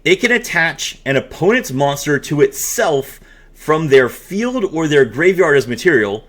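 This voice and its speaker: A man hosting a dramatic reading mixed with excited reaction commentary, expressive and given to amazed exclamations. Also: a little high, a little slow. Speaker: host.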